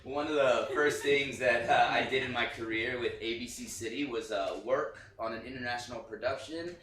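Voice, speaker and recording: A man playing an earnest, flustered children's TV puppeteer in a staged play reading, speaking haltingly. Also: medium pitch, a bit bright, close to an everyday voice. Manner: halting, earnest, nervous chuckles. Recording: echoey, distant, faintly noisy reading audio.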